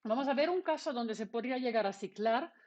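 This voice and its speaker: A woman's voice: fairly high pitch, animated, fairly quick, darkish tone, dull audio. Woman teaching coding. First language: Finnish. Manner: explains step by step, asking and answering her own questions. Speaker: university lecturer. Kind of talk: online programming lecture.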